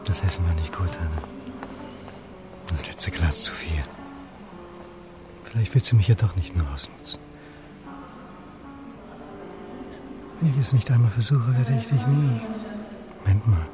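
A man's voice: on the low side, natural voice. An actor playing an anxious, self-doubting history lecturer in his forties, in introspective radio drama monologue. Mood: melancholy, longing, then nervous, paranoid. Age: 40s.

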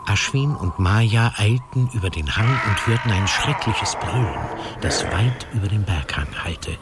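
Low-voiced male narrator over loud score. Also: expressive, measured pace. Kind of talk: tense scripted action narration of an audio drama. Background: loud dramatic music.

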